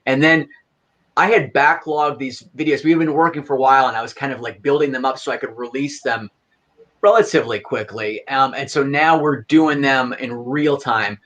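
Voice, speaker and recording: A man guest on a podcast, talking in a relaxed, easygoing way and laughing often. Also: medium pitch, moderate pace. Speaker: guest, fan film creator. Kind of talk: casual interview chat.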